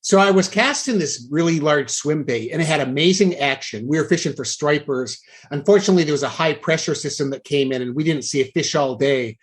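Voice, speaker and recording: Male podcast host, slightly high voice, casual anecdotal storytelling. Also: fairly quick, hardly any pauses.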